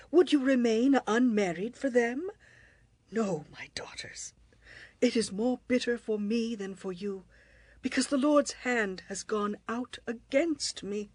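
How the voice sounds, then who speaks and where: Husky, grieving older widow. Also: female voice, on the high side, no echo. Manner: sorrowful, pleading. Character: bitter, grieving older widowed mother-in-law. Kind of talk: dramatized audio Bible acting.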